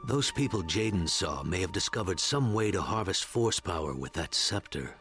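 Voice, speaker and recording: A man's voice: low-pitched, animated, moderate pace, a bit bright, soft background music. A man voicing a seasoned, easygoing and wry Jedi mentor in a video game. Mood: serious.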